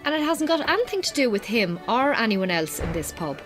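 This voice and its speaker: An actress playing an Irish farmer's wife in a radio drama, direct and wry, with an Irish accent. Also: female voice, a little high, sing-song, fairly quick, faint music behind. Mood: wry, friendly. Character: Irish farmer's wife, strained marriage, brisk but yearning. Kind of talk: naturalistic radio drama dialogue.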